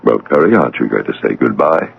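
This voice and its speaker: Casting: solemn afterlife guide, extremely deep and raspy, portentous, classic radio drama. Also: male voice, very animated, lo-fi sound, exaggerated voice. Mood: stern, composed. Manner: measured, portentous. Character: solemn, all-knowing otherworldly male guide. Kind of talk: old-time radio drama acting. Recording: band-limited radio drama recording.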